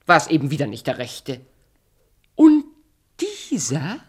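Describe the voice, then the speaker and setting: Slow, swooping, scheming evil queen. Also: sits low, huge pitch swings, darkish tone, slightly rough, exaggerated voice. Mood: coaxing, sly. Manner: theatrical, wheedling and imperious. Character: vain, cruel queen, at times posing as a coaxing pedlar woman. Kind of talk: radio play acting.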